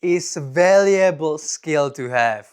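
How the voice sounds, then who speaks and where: A young man from the Czech Republic, a native Czech speaker with a strong Czech accent, gives a personal vlog monologue in deliberate, simple non-native phrasing. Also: on the high side, expressive, clear, resonant tone. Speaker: vlogger.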